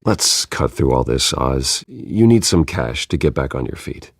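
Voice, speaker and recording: A composed billionaire with a secret life. His voice is very low and recorded dry and close. He speaks in a measured, guarded, serious manner suited to a dramatic video game. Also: sing-song, breathy edge, no room sound, heavily acted. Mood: dry, probing. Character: guarded, composed adult male billionaire vigilante. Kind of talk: restrained video game acting.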